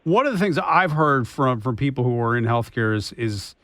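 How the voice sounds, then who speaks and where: A man's voice: mid-range voice, animated, brisk, a bit bright, no room sound. Dry-sounding male radio host. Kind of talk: conversational news-talk interview.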